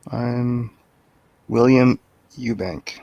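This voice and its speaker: A man's voice: medium pitch, steady tone, extremely slow, vocal fry, dark tone, no echo, muffled recording. A man in a casual movie review chat, offering brief, factual interjections. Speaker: show panelist.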